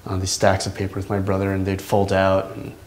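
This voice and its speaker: A man in his thirties, an artist, talking reflectively in a seated interview in his studio, pausing often with ums and restarts. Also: low voice, completely flat delivery, slight background noise. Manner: thoughtful, halting, many ums and restarts. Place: large indoor art studio. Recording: echoey studio room.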